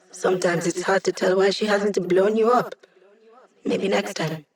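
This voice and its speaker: A woman's voice: medium pitch, steady tone, measured pace, close to an everyday voice. An actress voicing a wry, cocky young woman hacker in a video game, speaking in quippy, casual lines. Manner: sardonic, quippy, casual slang. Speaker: game character, hacker support.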